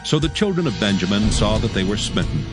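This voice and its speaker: A man narrating scripture from a script in a solemn, measured way. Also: lowish voice, sing-song. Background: music.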